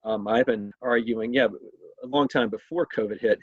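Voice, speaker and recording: A man conducting a conversational interview as host, speaking haltingly and often repeating his words. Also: mid-range voice, steady tone, slightly rough. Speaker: state legislator hosting an interview. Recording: roomy, echoey, muffled video call sound.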